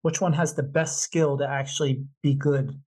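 Higher-pitched American man hosting a podcast. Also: little pitch movement, normal speed, darkish tone, breathy edge. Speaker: podcast host, interviewer. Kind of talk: casual interview.

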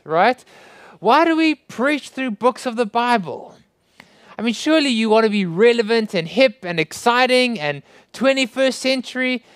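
A man's voice: high-pitched, clear, resonant tone, no echo. A man with a South African accent, preaching a sermon as a guest pastor, praying and then teaching with friendly joking asides. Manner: friendly, joking asides, rising emphasis on rhetorical questions. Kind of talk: sermon with opening prayer.

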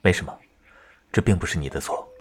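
An actor playing a gentle, caring male village teacher in an ancient-China audio drama. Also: sits low, brisk, a little hiss or hum, lightly acted. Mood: calm, reserved.

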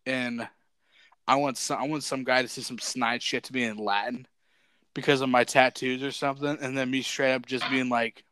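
A man's voice: mid-range voice, steady tone, normal speed, a bit bright, clean tone, no echo. Crude, joking young man, dry close sound. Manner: crude, joking, swears freely. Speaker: podcast co-host. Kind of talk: casual chat.

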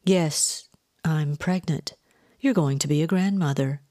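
A woman voicing a sardonic, calm grown daughter with deadpan, understated delivery for a storybook. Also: deep voice, measured pace, vocal fry, no room sound, clean studio sound, exaggerated voice. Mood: dry, resigned. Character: dry, sardonic, resolutely calm adult daughter.

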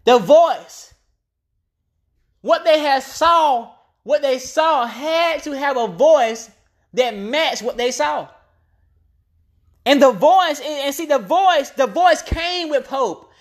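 Woman, high-pitched voice, preacher, impassioned extemporaneous sermon, emphatic repeated phrases. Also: animated, slow pace.

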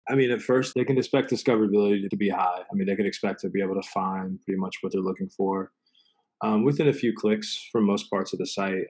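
A man's voice: low voice, steady tone, quick pace, darkish tone, slightly rough, low-quality recording. A man speaking as a podcast guest, answering in a casual, conversational style. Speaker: podcast guest, design lead.

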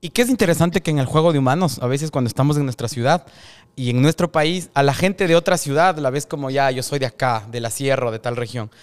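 Smooth-voiced man hosting a podcast. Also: medium pitch, fast. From Ecuador. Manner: reflective, talkative, candid. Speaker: podcast host. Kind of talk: casual interview chat.

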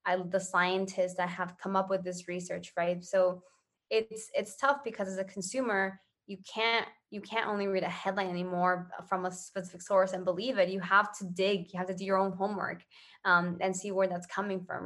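A woman's voice: mid-range voice, a bit bright. A woman from Ecuador giving casual, earnest interview answers as a social entrepreneur, often checking in with "right?". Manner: earnest, conversational, often tagging phrases with "right?".

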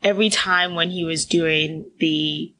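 A young woman from Ghana, a guest on a podcast, telling her story in an animated way. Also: sits low, measured pace, slight background noise, low-quality recording. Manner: animated storytelling with quoted voices. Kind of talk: conversational interview.

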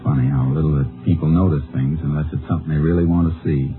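Determined Texas Ranger, very low American voice, folksy and understated, old radio drama, muffled narrow-band recording. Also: male voice, fairly even intonation, fast talker, music in the background. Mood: dry, matter-of-fact. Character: laconic, determined male Texas Ranger investigator, also narrating. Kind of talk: 1950s radio drama acting and narration.

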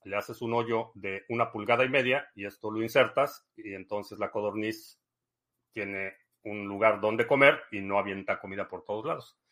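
A man, hosting a podcast, answering listener questions in a relaxed, rambling chat full of fillers. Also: on the low side, normal speed. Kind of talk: casual live-stream chat.

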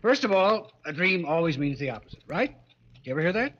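An actor playing a genial, teasing husband in 1940s radio drama, with a coaxing, folksy, slangy delivery. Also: male voice, high-pitched, big pitch swings, brisk, fairly bright tone. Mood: amused, teasing. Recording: muffled, reverberant, hissy old radio recording.

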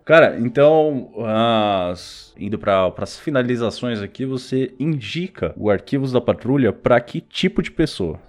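A man's voice: mid-range voice, expressive, a little slow, few pauses. A man with a Brazilian accent hosting a podcast in a casual, slangy, conversational way. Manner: informal, slangy. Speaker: podcast host. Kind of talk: casual chat. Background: music.

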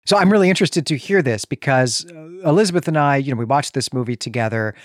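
A man's voice: mid-range voice, fast talker, dry recording, studio quality. A man co-hosting a podcast, talking about film in a conversational, analytical way. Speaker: podcast co-host. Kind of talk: casual film discussion.

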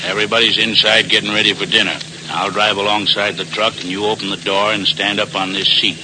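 Actor as a low, sharp-voiced cocky radio crook. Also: male voice, flat delivery, fast talker, lightly acted. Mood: businesslike. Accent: American. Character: cocky male crook plotting a robbery. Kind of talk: 1940s radio drama acting. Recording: noisy vintage 1940s radio recording.

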